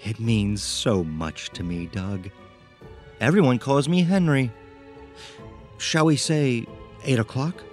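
An actor playing a small, eccentric, socially awkward man who speaks in a formal, halting, ingratiating way in a horror audio drama. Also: mid-range voice, expressive, darkish tone, faint music behind.

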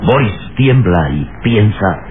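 A man's voice: fairly low pitch, lively intonation, takes it slowly. A man reading scripted, dramatic narration for an old radio serial. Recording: tinny, very noisy old radio recording.

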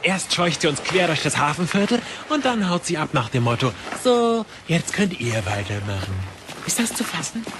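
An actor playing a brash, hot-headed adventurer in an audio drama, theatrical and sarcastic. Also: male voice, fairly high pitch, very animated, moderate pace, very noisy. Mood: exasperated, sarcastic.

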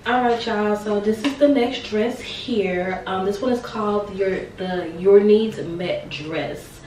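A young woman in her twenties chatting casually through a clothing try-on, her voice a little raspy from a sore throat, repeating words for emphasis. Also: medium pitch, slow, darkish tone, slight background noise. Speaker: fashion vlogger. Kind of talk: casual try-on haul chat. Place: small bright bedroom. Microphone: camera mic a few steps away. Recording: roomy sound from a distant camera.

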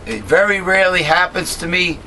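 Raspy middle-aged man rambling to a webcam. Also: high-pitched, lively intonation, fairly quick, bright, forceful tone, slight background noise, low-quality recording. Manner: rambling, many fillers and restarts, blunt opinions. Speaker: host of a political commentary channel. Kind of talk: casual monologue, talking to camera. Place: small cluttered home room indoors.

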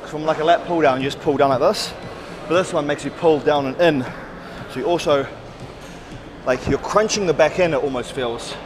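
A man's voice: on the high side, moderate pace, background noise. A man in his thirties hosting a gym vlog, explaining a workout and counting reps with energy. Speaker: fitness vlogger and host. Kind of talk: casual gym vlog commentary. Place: large indoor gym. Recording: loud gym music behind.

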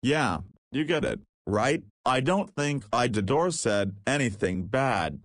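A man's voice: mid-range voice, expressive, slow, no echo, clean studio sound. A man playing a cheeky, self-deprecating young patient in a visual novel, slangy, casual and wry. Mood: sheepish, playful.

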